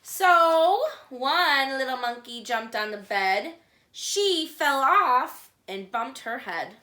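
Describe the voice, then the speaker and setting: A woman with a high, bright voice hosts a children's storytime in an echoey room. She reads a picture book aloud with deliberate, singsong phrasing aimed at little listeners. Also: lively intonation.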